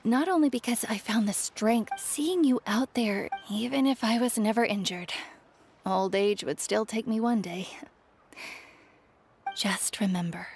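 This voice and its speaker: A woman voicing an ailing veteran warrior at peace with death, speaking in a gentle, reflective, sighing way in a dramatic video game scene. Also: fairly high pitch, a little slow, slight background noise. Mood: serene, reflective. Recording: music behind the voice. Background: game music.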